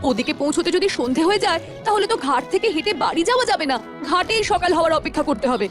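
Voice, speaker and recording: Woman as high-pitched, earnest village boy. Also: sing-song, racing, over music. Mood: cautious. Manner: earnest, explanatory. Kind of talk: Bengali village cartoon dialogue.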